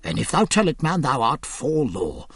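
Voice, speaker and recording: Man doing a sly, hushed young scholar. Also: medium pitch, big pitch swings, moderate pace, creaky, husky, no echo, clean studio sound, heavily acted. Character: sly young male scholar, secretive and solemn.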